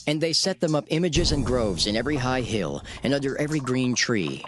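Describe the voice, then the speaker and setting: Animated male scripture narrator over music. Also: medium pitch. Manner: solemn, measured, emphatic storytelling. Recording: loud music under the narration.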